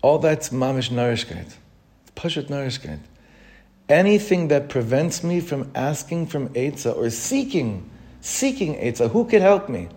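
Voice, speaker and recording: Earnest rabbi teaching a class. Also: male voice, mid-range voice, mellow. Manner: earnest, self-questioning, repeats phrases for emphasis; reads Hebrew text quickly, then explains it. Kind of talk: informal Torah talk.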